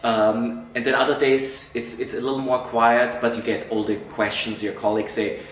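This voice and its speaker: A man's voice: mid-range voice, normal speed. Middle-aged guy from austria chatting in an interview, echoey room, mic a bit far off. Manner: animated, acts out quoted colleagues, frequent restarts. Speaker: interview guest, entertainment journalist. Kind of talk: casual interview answers.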